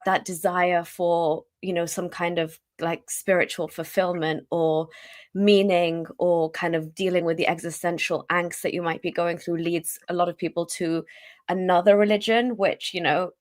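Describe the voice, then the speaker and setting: A woman guest on a live online talk show, her voice fairly low for a woman and recorded dry and close. She talks in a friendly, rambling way, thinking aloud with plenty of fillers. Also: steady tone, moderate pace, no room sound. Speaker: guest, YouTuber. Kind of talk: casual chat, interview answers.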